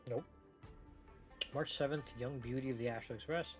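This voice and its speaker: A man's voice: mid-range voice, fairly even intonation, moderate pace. A man giving casual, flippant live commentary as he reacts to a video game. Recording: game music behind.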